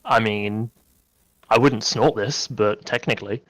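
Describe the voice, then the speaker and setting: A man's voice: fairly low pitch, a little slow, a bit bright, rough recording. A man playing a game cooperatively with a friend, laid-back and dryly joking. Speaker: co-op player. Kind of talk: casual co-op gameplay chat.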